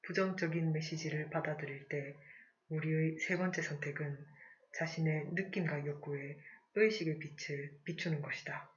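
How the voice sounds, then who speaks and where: Teacher, casual live class, middle pitch, frequent throat-clearing, fillers, slow read-aloud sentences, dull lo-fi audio. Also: steady tone. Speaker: English conversation teacher.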